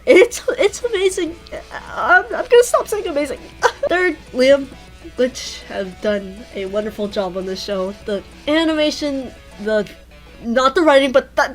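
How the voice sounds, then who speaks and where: A woman's voice: high voice, animated, a little slow, fairly bright tone, soft background music, low-quality recording. A woman giving an informal, rambling personal tribute in a hesitant, self-deprecating way. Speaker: fan content creator.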